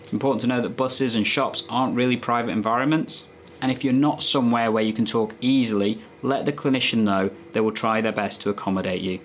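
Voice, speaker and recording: A man's voice: mid-range voice, fairly even intonation, fast, slight background noise. A man presenting health tips as a doctor, explaining in a conversational, instructive way to viewers.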